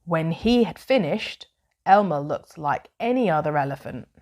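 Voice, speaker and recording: A woman reading a children's bedtime story aloud in a measured, expressive way with dramatic pauses. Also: deep voice.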